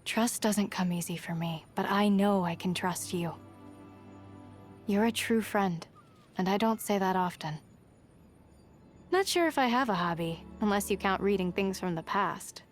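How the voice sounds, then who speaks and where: An actress playing a guarded, resolute young huntress in a video game, plain-spoken and understated. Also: female voice, medium pitch, unhurried, long pauses, soft background music, natural voice. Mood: reflective, guarded. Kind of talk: video game character voice lines.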